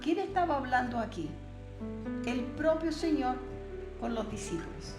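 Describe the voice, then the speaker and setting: A woman teaches a Bible seminar in a slightly high voice, reading verses and pressing the listener with rhetorical questions. Her first language is Portuguese. The room is echoey and music plays clearly behind her. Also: expressive, measured pace. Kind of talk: recorded Bible seminar lesson.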